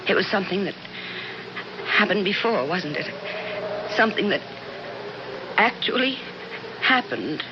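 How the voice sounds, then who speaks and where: A woman's voice: medium pitch, animated, a little slow, pauses often, natural voice. An American woman acting a frightened but stubborn, suspicious wife in a 1970s radio drama. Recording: muffled, noisy 1970s radio drama recording.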